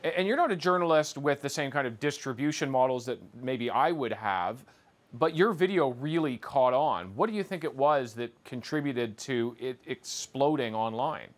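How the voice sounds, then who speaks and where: A man's voice: mid-range voice, lively intonation, moderate pace, no room sound. A middle-aged man hosting a TV news show, narrating and interviewing with a polished broadcast delivery. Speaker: news host. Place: TV studio. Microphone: lapel.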